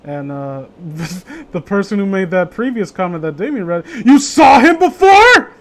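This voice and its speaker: High-pitched man ranting casually, sweary. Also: big pitch swings, measured pace. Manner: rambling, profanity-laden, opinionated. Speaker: streamer hosting a group story reading. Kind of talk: casual chat.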